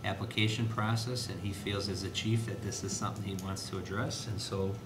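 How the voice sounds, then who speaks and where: A man speaking as an administrator at a school board meeting, explaining in a halting way with restarts. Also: fairly even intonation. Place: indoor board meeting room. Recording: noisy, echoey meeting room with low rumble.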